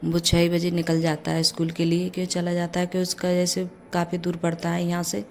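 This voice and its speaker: A middle-aged mother with a hoarse voice from a cold, chatting through a casual home vlog as she cooks breakfast and goes on with her housework, often saying "jaise" between her words. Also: lowish voice, completely flat delivery, brisk, a little mellow. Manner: chatty, leans on the filler "jaise". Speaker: vlogger, mother. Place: home kitchen.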